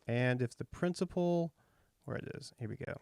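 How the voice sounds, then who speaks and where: A man in his thirties from Texas with a light Texas accent, hosting a relaxed, folksy interview and thinking out loud with fillers. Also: mid-range voice, moderate pace, creaky, dry recording, studio quality. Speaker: podcast host. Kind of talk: casual interview chat.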